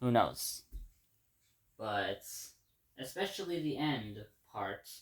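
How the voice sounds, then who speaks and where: A man's voice: lowish voice, expressive, takes it slowly. Rambling man from Ecuador, video diary. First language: Spanish. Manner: rambling, restarts and repeats words, often says "guys". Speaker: vlogger. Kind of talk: casual spoken reflection to viewers.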